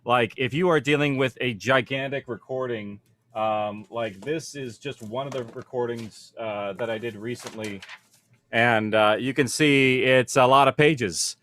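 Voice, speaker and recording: A man's voice: mid-range voice, unhurried, a bit bright. A man speaking as a producer on a panel, explaining practical matters informally and conversationally, thinking aloud as he goes.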